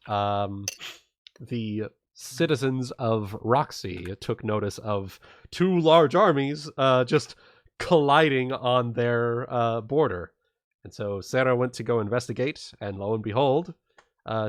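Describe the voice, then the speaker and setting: A man acting as game master on a casual livestream, explaining and recapping the game in an informal, halting way with some swearing. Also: mid-range voice, no echo, studio quality.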